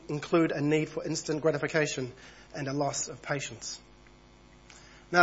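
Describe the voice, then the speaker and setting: A man over thirty-five, husky from a cold, preaching an evening church sermon in a conversational way and reading passages aloud. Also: a little high, fairly bright tone, highs cut off. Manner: conversational, reads passages aloud, small asides.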